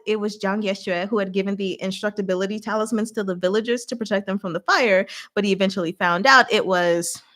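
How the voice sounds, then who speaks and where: A woman hosting a casual live book discussion, retelling the plot in an animated, giggly, informal way with acted-out asides. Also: mid-range voice. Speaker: host of a livestream book discussion. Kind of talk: casual chatty live story recap with commentary.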